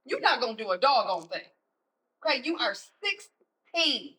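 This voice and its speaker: A woman's voice: sits high, very animated, measured pace, pushed and bright, breathy edge, heavily acted. A woman playing a no-nonsense American mother of a teenager in a stage play, with folksy, scolding phrasing. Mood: scolding, exasperated.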